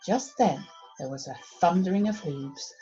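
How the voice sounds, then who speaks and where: A woman reading a children's storybook aloud as expressive narration. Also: lowish voice, normal speed, highs cut off, faint music behind. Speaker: primary school story reader.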